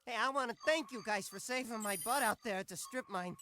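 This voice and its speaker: A man's voice: very high voice, a little fast, pushed and bright, faint music behind. A man voicing a paranoid, fretful video game character, stammering nervously as he talks. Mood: sheepish. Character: jumpy, paranoid, fretful man at a mining operation. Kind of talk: animated video game acting.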